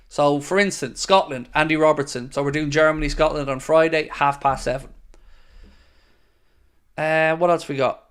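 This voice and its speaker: A young man hosts a live stream and answers viewers one by one. His talk is casual and upbeat, and his voice sits a little on the high side for a man. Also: clear, resonant tone. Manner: chatty and upbeat, reads questions aloud then answers. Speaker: host of a football fan channel.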